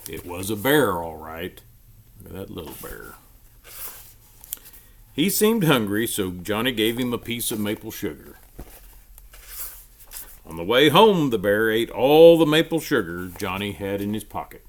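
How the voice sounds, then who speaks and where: A man reading a children's storybook aloud in an expressive, emphatic storytelling manner. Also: lowish voice, measured pace, pauses often.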